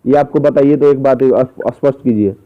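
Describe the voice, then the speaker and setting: A man's voice: medium pitch, moderate pace. Insistent man on a muffled call. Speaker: interviewer questioning a singer by phone. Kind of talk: phone interview, probing questions. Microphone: phone call. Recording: muffled, dull phone call recording.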